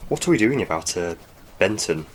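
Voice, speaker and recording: A man's voice: sits low, big pitch swings, a little fast. A man acting as an earnest, slightly flustered military subordinate in an audio drama. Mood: helpful, earnest. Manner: eager, slightly flustered, hesitant. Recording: noisy ambience effects behind.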